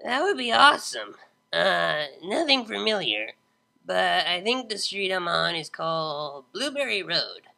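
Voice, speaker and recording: A woman voicing a drunk, laid-back young female unicorn DJ who drawls slow, slurred slang. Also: lowish voice, very animated, vocal fry, sharp tone, voice pushed up, full character voice.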